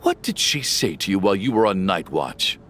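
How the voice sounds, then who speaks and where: A man's voice: deep voice, big pitch swings, brisk. A man playing a pompous, blustering, suspicious rich windbag in a video game, theatrical and accusatory. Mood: indignant. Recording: background music.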